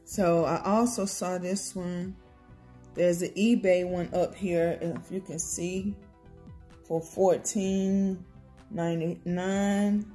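Slow, chatty American woman vlogger. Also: fairly low pitch, steady tone, dark tone, soft background music. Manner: chatty, unhurried, many ums and self-corrections. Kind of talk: casual product comparison vlog. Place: home table, indoors.

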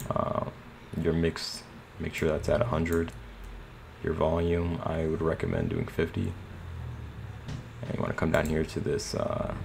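A man narrating a casual screen tutorial in a plain, slightly hesitant way. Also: low voice. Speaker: tutorial host. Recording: clear background noise.

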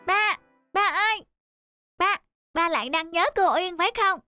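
A woman voicing a sweet, polite little boy in an animated moral tale, childlike and respectful. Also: very high voice, a little slow, voice pushed up, heavily acted. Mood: eager, affectionate. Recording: clear background music throughout.

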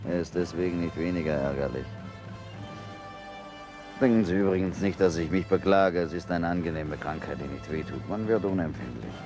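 An actor playing a proud, bitter leper dying alone, with a sardonic, halting delivery in a radio play. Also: male voice, deep voice, lightly acted. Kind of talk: radio drama acting. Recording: radio play with music underneath.

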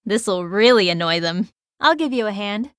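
A woman's voice: medium pitch, lively intonation, moderate pace, fairly bright tone, no echo, clean studio sound, close to an everyday voice. A woman voicing a blunt, offhand young female fighter in a video game, casual and sardonic. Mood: wry, offhand. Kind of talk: short battle voice clips.

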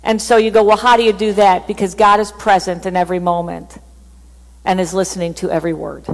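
A woman's voice: medium pitch, fairly quick. Chatty woman pastor in a reverberant church. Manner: chatty, animated, laughs at herself. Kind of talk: sermon. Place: church stage with band gear.

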